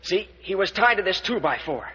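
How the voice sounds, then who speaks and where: Urgent high-voiced young adventurer. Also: male voice, animated, normal speed, sharp tone, husky, heavily acted. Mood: earnest, explaining. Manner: urgent, clipped, earnest. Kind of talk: old-time radio serial acting. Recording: muffled, noisy old radio recording.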